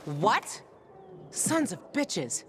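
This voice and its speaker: Game role: protective, tough young woman, sardonic and urgent, mid-pitched and expressive, over music. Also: drawn-out words, full character voice. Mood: scornful anger. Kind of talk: video game voice acting.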